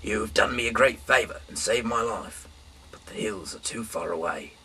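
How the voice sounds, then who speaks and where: Male, low but forceful voice, informal dramatic scripture reading, recorded at a distance. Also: normal speed. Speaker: Bible reader. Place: small dim room indoors. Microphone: camera mic from a little way off. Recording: distant mic, faint background hiss.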